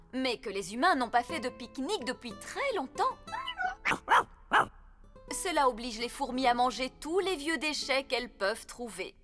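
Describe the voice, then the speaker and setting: Bright, high-pitched bossy cartoon fairy nanny. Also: female voice, big-room sound. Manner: theatrical, self-assured, fussy. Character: bossy, confident fairy nanny with magic basket. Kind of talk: children's cartoon dubbing.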